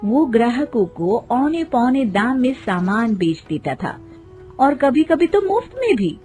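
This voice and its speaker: A woman's voice: a little high, big pitch swings, unhurried, warm tone. Woman narrating a moral story over music.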